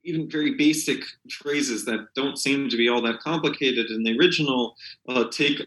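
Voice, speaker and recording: A man is being interviewed as a translator and talks without a script. His voice is bright and sits at a middling pitch. He feels his way through sentences hesitantly, and the sound is noticeably roomy. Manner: halting, hesitant, searching for words. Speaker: literary translator, interview guest. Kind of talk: unscripted interview discussion.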